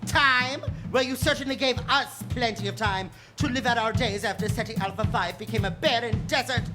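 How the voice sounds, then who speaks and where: A woman playing a grandiose, vengeful warlord villain in a staged musical parody, taunting with menacing, drawn-out theatrical emphasis. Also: fairly high pitch, music in the background, close to an everyday voice. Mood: taunting, demanding. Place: live stage.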